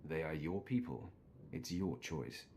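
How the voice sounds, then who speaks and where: A man's voice: very deep voice, lively intonation, unhurried, creaky, darkish tone, full character voice. An actor playing a stoic, composed male Sith warrior in a video game. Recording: game audio with faint background noise.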